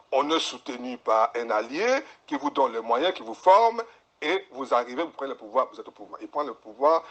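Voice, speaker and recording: A man's voice: medium pitch, fairly bright tone. A man from DR Congo, a guest analyst giving long explanations and often repeating words as he searches for them. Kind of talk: explanatory interview talk.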